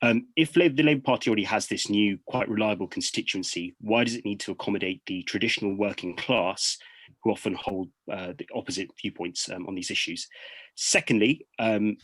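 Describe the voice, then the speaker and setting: A man with a low voice asks a measured two-part question, with frequent ums between phrases. His sound is dry, with no room around it. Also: fairly bright tone, slightly rough, dry recording. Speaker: audience member asking a question. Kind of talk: spoken question in a live discussion.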